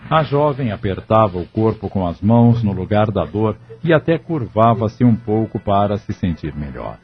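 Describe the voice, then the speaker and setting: Male narrator, lowish husky voice, scripted audio-novel storytelling, muffled recording, background music. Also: very animated, normal speed.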